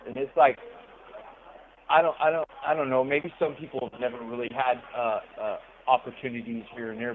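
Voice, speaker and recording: Middle-aged male prison caller, halting and reflective, heard through a narrow, muffled phone line. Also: mid-range voice, slight background noise. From Southern California. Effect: telephone line. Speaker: incarcerated interview guest calling from prison. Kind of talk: casual personal storytelling and reflection. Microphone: telephone handset.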